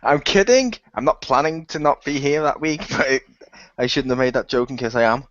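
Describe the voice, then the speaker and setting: A man's voice: medium pitch, brisk, fairly bright tone, dry recording, dull audio. A man chatting casually and jokingly as a player and co-host on an amateur radio play.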